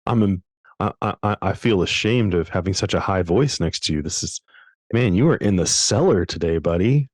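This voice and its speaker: A man with a low voice chats eagerly as a podcast co-host, tripping over his words and restarting as he goes. The sound is close and dry. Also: moderate pace, dry recording. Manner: animated, stumbling restarts. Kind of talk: casual chat.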